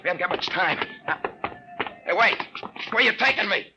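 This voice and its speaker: A man's voice: high voice, animated, moderate pace. An actor playing a desperate, slangy American man in a 1950s radio drama, urgent in manner, on a noisy old recording. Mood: urgent pleading. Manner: urgent, slangy tough talk. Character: desperate wisecracking American man, alarmed and disbelieved.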